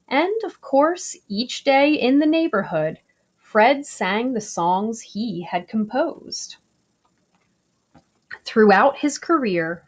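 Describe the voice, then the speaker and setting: A woman reading a picture book aloud for children, with deliberate phrasing. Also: medium pitch. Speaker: story reader. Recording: slightly dull, lo-fi recording.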